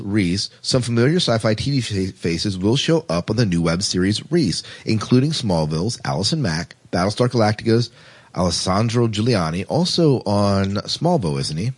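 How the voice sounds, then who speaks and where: A man's voice: on the low side, big pitch swings, normal speed, husky. A man hosting a podcast, chatty and rambling, reading out news items in a casual, self-deprecating way. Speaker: podcast host.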